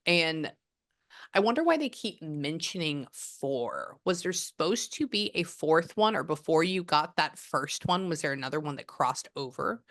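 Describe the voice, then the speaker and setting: Woman in her 30s, low voice, chatty rambling medium on a video call. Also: lively intonation, a bit bright. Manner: chatty and rambling, quick bursts of surprise. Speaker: psychic medium. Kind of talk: live reading session on a video call.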